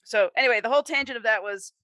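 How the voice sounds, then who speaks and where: A woman's voice: a little high, rapid-fire, sharp tone, no room sound, clean studio sound. An American woman co-hosting a podcast, chatting casually and rambling off on tangents. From Utah, United States. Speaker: podcast co-host. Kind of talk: casual chat.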